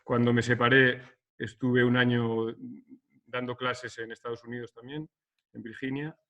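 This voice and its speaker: A man's voice: medium pitch, little pitch movement, moderate pace. Hesitant Spanish man on a video call. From Basque Country, Spain. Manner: hesitant, with many restarts and fillers. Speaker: architect guest. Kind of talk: casual video-call interview. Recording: dull lo-fi video-call audio.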